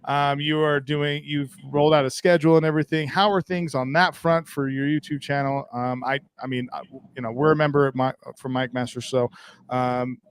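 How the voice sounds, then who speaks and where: A man's voice: mid-range voice, steady tone, normal speed, smooth, dry recording. A man hosting a battle rap stream, upbeat, plugging channels and asking his guest questions. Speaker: host. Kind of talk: livestream podcast hosting.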